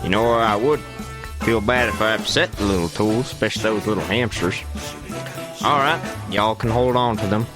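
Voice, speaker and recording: A man's voice: fairly low pitch, normal speed, over music, close to an everyday voice. A man playing a folksy, exasperated old west lawman keeping order in a comedic radio play. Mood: slow-witted, folksy.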